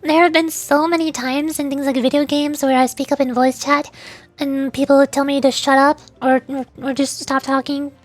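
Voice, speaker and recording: A woman with a small, squeaky, girlish voice, chatting casually and earnestly to viewers on a livestream. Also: flat delivery, moderate pace, no echo, soft background music. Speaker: streamer and VTuber, voice actor.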